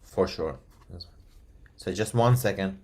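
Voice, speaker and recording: A man's voice: low-pitched, takes it slowly, a little mellow. A man from Iran whose first language is Persian, answering interview questions at length in a thoughtful way with many fillers and restarts, heard over a video call. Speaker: guest expert.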